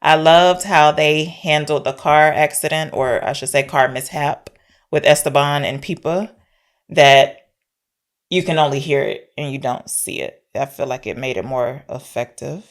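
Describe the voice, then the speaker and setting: Low, creaky-voiced woman chatting about movies. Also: a little slow. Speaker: podcast co-host. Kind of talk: casual film discussion.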